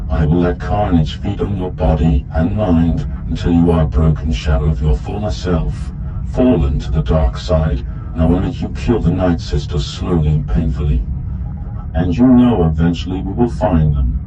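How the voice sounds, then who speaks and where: A man performs a menacing dark lord for a sci-fi audio drama. The voice is very low and sounds set back in an echoing space. It threatens coldly and deliberately, with music underneath. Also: close to an everyday voice. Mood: cold menace. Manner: slow, cold, threatening. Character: menacing dark lord villain in a masked suit. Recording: muffled, echoey, distant voice under music.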